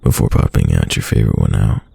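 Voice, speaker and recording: A man playing a devoted, soft-spoken boyfriend in an intimate late-night roleplay ASMR, murmuring tenderly and musingly in a deep, gravelly, breathy voice. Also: steady tone, quick pace, no room sound, exaggerated voice. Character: devoted soft-spoken boyfriend whispering to his sleeping lover.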